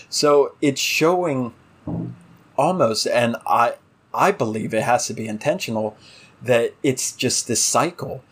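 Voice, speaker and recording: A man's voice: on the low side, husky. A man co-hosting a podcast, discussing in a casual analytical way, earnest and emphatic, building his points through repetition. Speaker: podcast co-host.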